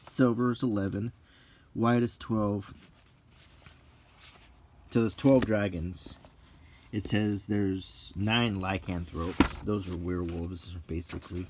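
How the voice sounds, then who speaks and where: Middle-aged male, low-pitched, casual unscripted ASMR-like delivery, musing and pausing, noticeable background noise. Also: fairly even intonation. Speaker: channel host. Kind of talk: unscripted casual book comparison, unintentional ASMR.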